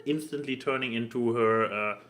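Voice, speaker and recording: A man co-hosting a podcast, talking casually and opinionatedly about cartoons in a halting way, repeating words as he makes his point. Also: mid-range voice, a bit bright. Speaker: podcast co-host.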